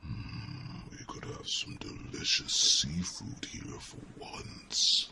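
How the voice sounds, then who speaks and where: A man voicing a huge old dragon, a lazy, hungry and ponderous companion, in a growling ASMR fantasy roleplay, with long pauses and rumbling hums. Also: huge pitch swings, background noise, full character voice. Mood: hungry, languid. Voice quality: very deep, gravelly growl. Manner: slow, drawn-out, pausing, rumbling hums.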